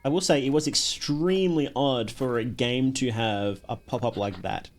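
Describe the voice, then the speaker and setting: A man with a mid-pitched voice commentates casually, like a streamer. He keeps up wry, joking asides. Music plays behind him. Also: moderate pace. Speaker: streamer reacting to a horror game video.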